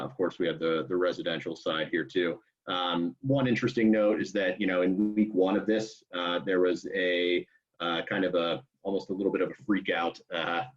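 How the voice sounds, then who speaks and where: Man, very deep voice, little melody, halting informal work report, conference call. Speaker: association staffer giving a report. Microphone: conference call line. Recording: muffled conference call audio.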